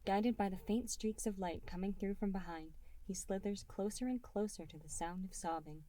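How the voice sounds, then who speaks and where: A woman reading a fan fiction story aloud as an audiobook narrator, in an expressive storytelling manner. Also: mid-range voice, normal speed. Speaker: reader and writer of the story.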